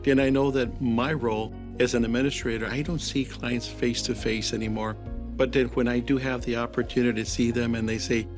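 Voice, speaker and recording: A man's voice: medium pitch, level tone, moderate pace, over music. A man running a social service agency, answering interview questions in an earnest, advocating manner. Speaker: agency CEO.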